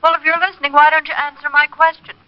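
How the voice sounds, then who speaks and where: A woman's voice: high voice, level tone, quick pace, a little hiss or hum, lightly acted. An actress playing a worried, scolding girlfriend on the phone. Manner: fretful, scolding. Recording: dull old radio recording.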